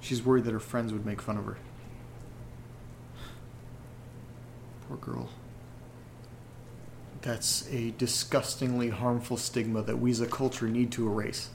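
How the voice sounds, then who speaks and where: A man playing an uneasy horror podcast host who reads letters aloud, earnest and deliberate, with pauses between phrases. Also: medium pitch, little pitch movement, vocal fry, a little hiss or hum, lightly acted. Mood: earnest, sympathetic. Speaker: audio drama podcast host character reading listener letters. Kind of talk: dramatic narration in a horror audio drama.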